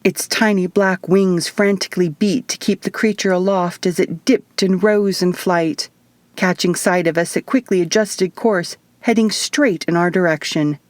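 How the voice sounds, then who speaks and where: A woman reading first-person fiction as an audiobook narrator, in an expressive, measured storytelling manner. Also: lowish voice, dry recording. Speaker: author reading own audiobook.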